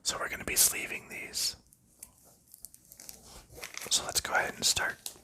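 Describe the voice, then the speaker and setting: Guy whispering asmr unboxing stuff, super bright breathy whisper, gentle and unhurried. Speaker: ASMR host.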